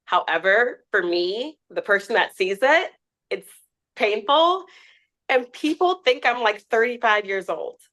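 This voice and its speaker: A woman's voice: a little high, measured pace. A woman in her twenties, a guest on a podcast, chatting candidly and acting out quotes as she tells stories. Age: 20s. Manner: candid, animated storytelling with quoted asides. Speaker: podcast guest, TikTok creator. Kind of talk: casual interview chat.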